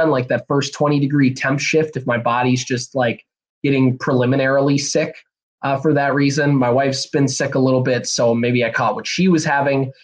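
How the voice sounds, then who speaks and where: A young man, hosting a solo podcast in a rambling, energetic way, a bit hoarse and nasal from a cold. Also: medium pitch. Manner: rambling, energetic, many fillers and restarts. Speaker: podcast host. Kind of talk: casual solo podcast talk.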